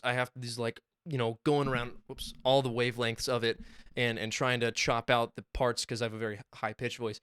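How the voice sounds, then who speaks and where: Young male podcast co-host, chatty and excitable, swears freely, clean dry mic. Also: medium pitch, smooth, dry recording. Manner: excited, swearing, rambling storytelling. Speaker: podcast co-host and music producer. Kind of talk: casual chat. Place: small home studio.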